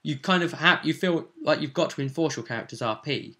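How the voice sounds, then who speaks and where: A man's voice: a little high, brisk, sharp tone. A man chatting casually as a game master, recapping a tabletop role-play session and acting out bits as he goes.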